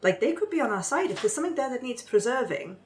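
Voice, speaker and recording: A woman's voice: fairly high pitch, big pitch swings, fast talker. A woman as a curious, forthright winged forest-dweller. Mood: inquisitive. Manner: questioning, matter-of-fact. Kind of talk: improvised tabletop roleplay.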